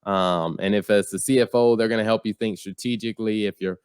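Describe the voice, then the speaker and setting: Lower-voiced man explaining calmly. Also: clear, resonant tone, dry recording, studio quality. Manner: measured, explaining step by step. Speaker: podcast co-host. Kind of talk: casual podcast chat.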